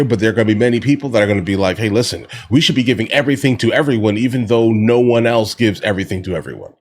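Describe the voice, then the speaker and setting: A man's voice: medium pitch, husky. An American man hosting a reaction video, giving casual political commentary and often addressing viewers directly in a conversational way.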